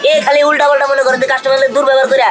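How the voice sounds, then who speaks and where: A woman's voice: high-pitched, little pitch movement, rapid-fire, natural voice. A woman playing a blunt, argumentative, sarcastic shop assistant in a comic short drama, with a Bangladeshi accent. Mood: pleading. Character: quarrelsome, blunt shop assistant, haggling and blaming others. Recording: loud music behind the dialogue.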